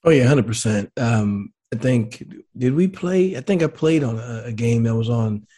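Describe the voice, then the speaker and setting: A man co-hosting a casual sports show, relaxed and laid-back, his voice slightly raspy and scratchy. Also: medium pitch, moderate pace. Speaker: co-host, former player.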